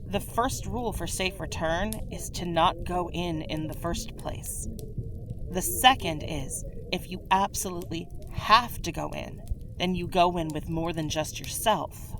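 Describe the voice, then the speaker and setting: A woman's voice: fairly low pitch, unhurried, noisy background. A woman playing a caring, frank innkeeper in a fantasy roleplay audio drama, soothing and conversational. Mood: grave, warning. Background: music behind the voice.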